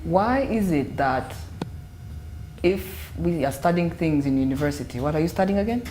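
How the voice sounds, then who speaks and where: A woman's voice: low voice, animated, normal speed, mellow. A woman on a discussion panel, posing a probing question that she builds up step by step. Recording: echoey room.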